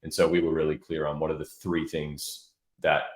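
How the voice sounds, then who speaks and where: A man's voice: rumbling low, level tone, moderate pace. A man speaking as an interview guest, explaining things step by step in a conversational way. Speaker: interview guest, product marketing executive. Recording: roomy, echoey sound.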